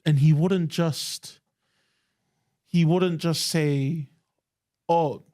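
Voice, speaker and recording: Young tongan guy on a podcast, mellow warm voice, talks earnest and emphatic, bit higher pitched. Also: fairly even intonation, extremely slow. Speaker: podcast co-host. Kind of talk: casual chat.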